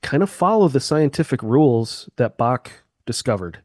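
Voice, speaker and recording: A man of middle pitch talks through ideas with eager, sweeping comparisons, like a musician guest on a podcast. His voice sits close and dry on the mic, with no room around it. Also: expressive, normal speed, a little mellow, no room sound. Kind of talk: casual explanatory chat.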